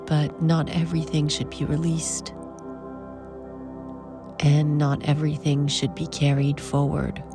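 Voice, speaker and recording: A woman leading a guided meditation in soft, breathy, short phrases with long pauses, often trailing toward a whisper. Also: low voice, monotone, over music.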